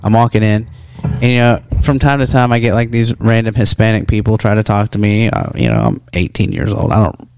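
Young male co-host, casual rambling storyteller, clear smooth voice, dry close recording. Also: fairly low pitch, fairly quick, few pauses, darkish tone, no echo. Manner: rambling storyteller, lots of "like" fillers. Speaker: podcast co-host. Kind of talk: casual chat.

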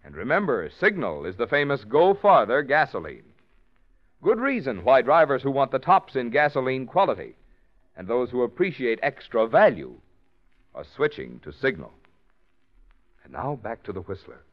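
A male radio announcer from a vintage broadcast, smooth and persuasive, whose pitch swings widely as he sells. The recording is dull and lo-fi. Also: wildly swooping pitch, moderate pace. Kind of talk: vintage radio commercial read.

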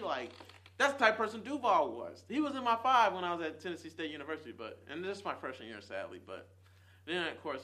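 A man in his twenties talking casually and earnestly to his viewers, rambling and swinging between loud bursts and quiet trailing asides. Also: a little high. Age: 20s. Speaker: vlogger. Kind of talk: casual chat to camera.

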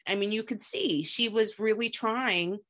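A woman's voice: mid-range voice, unhurried, fairly bright tone. A woman hosting a podcast, chatting casually and gossipily as she recaps reality television. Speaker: podcast host.

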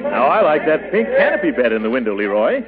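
An actor playing a pompous, wry middle-aged uncle with a husky, blustery voice, in 1940s radio comedy style. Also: male voice, medium pitch, wildly swooping pitch, over loud music. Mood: genial, wry. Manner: blustery, drawn-out "yes", wry asides. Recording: muffled, scratchy 1940s radio.

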